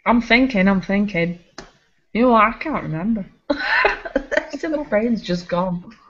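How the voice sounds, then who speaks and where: A woman co-hosting a casual gaming podcast, chatty and quick to laugh. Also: mid-range voice, wildly swooping pitch, a bit bright. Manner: chatty, laughs often, restarts sentences. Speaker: podcast co-host. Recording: slightly muffled home recording.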